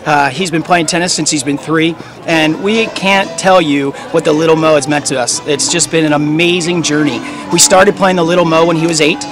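An enthusiastic man from Pennsylvania, a tennis father, talks eagerly to camera beside outdoor courts. His voice sits a little high, with music clearly behind. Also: steady tone, brisk, hardly any pauses, low-quality recording. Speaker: tennis parent. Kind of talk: interview to camera.

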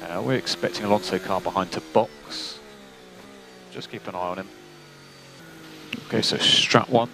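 A man working as a race engineer, giving calm, clipped technical instructions over team radio. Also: deep voice, moderate pace, creaky, pauses often. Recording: noisy team radio, engine noise behind.

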